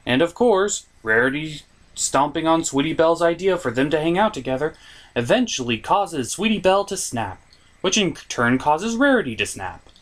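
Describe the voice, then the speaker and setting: A man's voice: a little high, big pitch swings, moderate pace, fairly bright tone. A man hosting a scripted comedic episode review with a sarcastic delivery. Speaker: reviewer, host.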